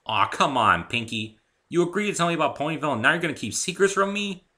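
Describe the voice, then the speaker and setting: Man acting polite, curious young hero, lively delivery. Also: a little high, fast talker, a little hiss or hum, voice pushed up. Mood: bantering. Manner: earnest, bantering. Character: polite, curious, good-humoured human man, story's hero. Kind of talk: dramatic story reading.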